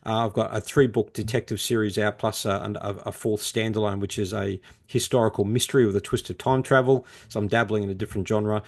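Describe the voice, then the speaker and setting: A man's voice: on the low side, fairly even intonation, fast, few pauses. A middle-aged man, talking conversationally about his work as an interview guest and novelist. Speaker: podcast guest, crime novelist.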